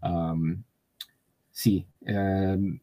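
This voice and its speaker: A man from Taiwan with a Taiwanese accent, a guest speaking Spanish as a learner, halting with many fillers and restarts. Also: deep voice, steady tone, gravelly, dry recording, lo-fi sound. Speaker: interview guest. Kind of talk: casual conversation.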